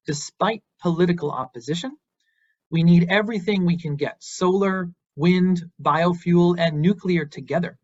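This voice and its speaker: Earnest American man lecturing, muffled sound. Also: fairly high pitch. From Boston, United States. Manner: earnest and encouraging, with some fillers. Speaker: university lecturer. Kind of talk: invited lecture, online.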